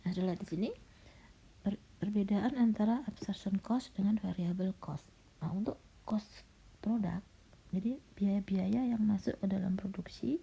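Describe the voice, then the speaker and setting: A middle-aged woman lecturer, slightly hoarse and coughing, patiently walking students through a recorded slide lecture with frequent fillers. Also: medium pitch, dark tone. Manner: patient, explanatory, with frequent "nah" and "ya". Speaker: university lecturer. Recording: dull lo-fi recording.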